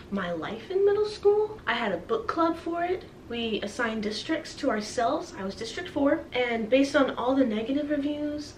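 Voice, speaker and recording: A young woman chatting casually to camera as a book vlogger, playfully dramatic and self-deprecating. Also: fairly high pitch. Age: young adult. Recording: roomy, distant mic with faint hiss.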